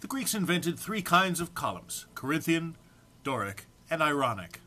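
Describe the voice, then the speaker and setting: Bright-voiced man, mock-solemn and barely composed. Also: fairly high pitch, very animated, normal speed. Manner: mock-solemn, struggling not to laugh. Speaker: amateur reader recording for an online post. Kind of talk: informal introduction, then reading comic text aloud.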